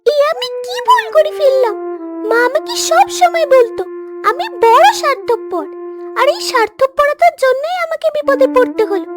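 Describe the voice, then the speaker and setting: A woman voicing a frightened, plaintive little duckling in a cartoon. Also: very high-pitched. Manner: pleading, plaintive. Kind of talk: cartoon dialogue. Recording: music behind the voice.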